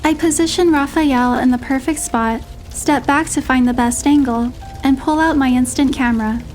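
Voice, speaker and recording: A woman narrating a romantic first-person story in a measured, expressive storytelling manner. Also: high voice, faint music behind. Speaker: narrator and heroine voice.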